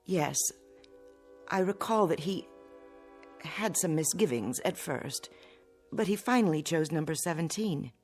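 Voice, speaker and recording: A polite, restrained mother in a radio drama, with a low-pitched voice for a woman. Music plays clearly behind her composed delivery. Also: lively intonation, unhurried, long pauses, darkish tone, heavily acted. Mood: wistful.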